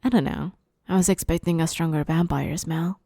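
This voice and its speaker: A smug, playful vampire woman, acted by a woman for an ASMR roleplay, with a slightly low, dark and velvety voice. She teases and threatens in a slow drawl that often sinks into whispers, recorded close and dry. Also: fairly even intonation, no room sound. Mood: smug, teasing. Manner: teasing, drawling, often dropping to breathy whispers. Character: playful, smug, menacing female vampire interviewer. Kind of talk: ASMR roleplay, scripted monologue.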